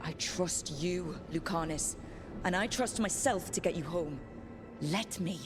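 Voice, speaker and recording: A woman's voice: on the low side, a little slow, natural voice. A woman playing a steadfast, earnest, determined heroine in dramatic video game dialogue. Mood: earnest, encouraging.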